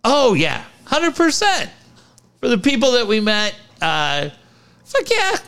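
A middle-aged man co-hosting a casual podcast, telling profane, animated stories. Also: extremely high pitch, slow pace, clear, resonant tone. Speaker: podcast co-host.

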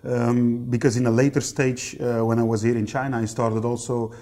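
A man in his thirties with a Belgian accent, answering questions as a podcast guest in a conversational, somewhat halting way. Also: mid-range voice, level tone, warm tone. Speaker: interview guest, company general manager.

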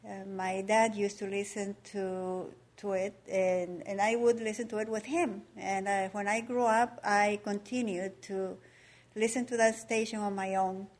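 A woman's voice: mid-range voice, level tone, measured pace, darkish tone. A middle-aged woman from Ecuador giving a personal testimony at church, careful and hesitant. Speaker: missionary's wife giving testimony.

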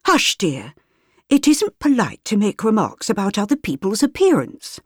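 A woman voicing a refined, genteel mother who gently corrects her child. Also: mid-range voice, huge pitch swings, normal speed, husky, no echo, clean studio sound, voice pushed up. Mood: gentle.

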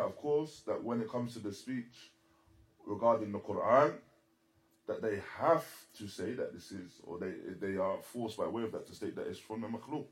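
Guy teaching a religious class, halting emphatic delivery, repeats phrases, drops in arabic quotes. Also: mid-range voice, low-quality recording. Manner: switches into fluent Arabic quotations. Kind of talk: religious lecture explaining a text.

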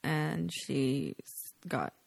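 A young woman from Canada whose first language is Polish, chatting as a guest in a relaxed interview and laughing often. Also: rumbling low, very slow, creaky, dry recording. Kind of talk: casual interview chat.

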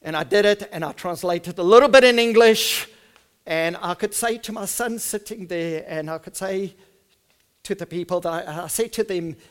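Afrikaans-accented man, middle-aged pastor, high tenor, earnest sermon, drops soft then rises loud. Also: moderate pace. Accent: South African Afrikaans. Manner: earnest, swings from soft and hushed to loud and emphatic. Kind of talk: sermon with personal storytelling.

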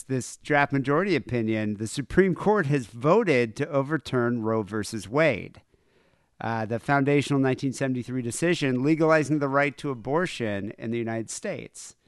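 A young man co-hosting a podcast, chatting sarcastically about politics, swearing and halting with fillers. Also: mid-range voice, lively intonation, clean tone, no room sound, clean studio sound. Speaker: podcast co-host. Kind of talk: casual political chat.

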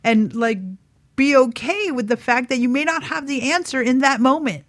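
A woman's voice: sits high, normal speed, no room sound, studio quality. A woman chatting casually as a talk show panelist, very informal, often saying like and man. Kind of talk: casual chat.

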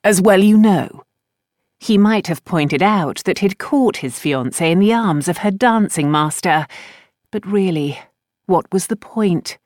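Female audiobook narrator, slightly low register, polished and expressive storytelling, dry close-miked recording. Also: unhurried, no echo. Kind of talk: scripted fiction narration.

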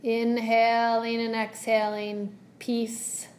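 A woman leading a guided meditation as a yoga teacher, speaking gently and soothingly in measured phrases with long pauses. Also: a little high, monotone.

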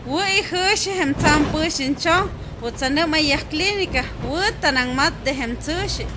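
A woman's voice: high-pitched, slow pace. A woman, a native Popoluca speaker, playing a village woman advising a neighbour in a radio drama. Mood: conversational. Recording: noisy outdoor vehicle ambience.

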